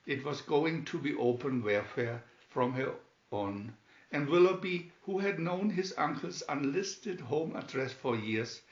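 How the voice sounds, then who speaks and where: A man reading a storybook aloud in a deliberate, dramatic narrating style. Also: a little high, expressive. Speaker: storybook reader. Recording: dull, echoey room recording.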